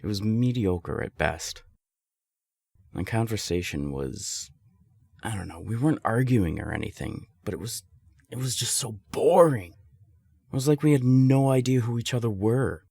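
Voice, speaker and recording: A man performs a young, secretly smitten friend in an intimate audio roleplay. The voice is deep and creaky, swinging widely in pitch. The delivery is conversational, candid and emphatic, like a close friend talking. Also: lively intonation, a little slow, mellow, dry recording, heavily acted. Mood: bored venting. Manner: conversational, hesitant, emphatic swearing. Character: serial-dater friend, exasperated, warm, secretly smitten.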